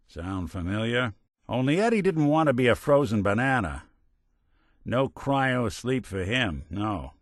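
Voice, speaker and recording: Casting: weary American robot private eye for a game, lowish voice, lively swings in intonation, wry and principled. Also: male voice, dry recording, studio quality. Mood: grim, sardonic. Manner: wry, streetwise, old-time gumshoe delivery. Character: world-weary, wisecracking robot private detective, hardboiled and principled. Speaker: video game voice actor. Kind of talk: scripted game dialogue in a hardboiled noir detective manner.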